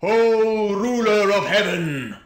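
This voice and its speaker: A man's voice: high-pitched, animated, takes it slowly, voice pushed up. A man voicing a commanding pagan lord of a mead hall in a story, declaiming solemnly like a ritual leader. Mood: solemn invocation. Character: booming pagan earl, master of the mead hall. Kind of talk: dramatised storytelling.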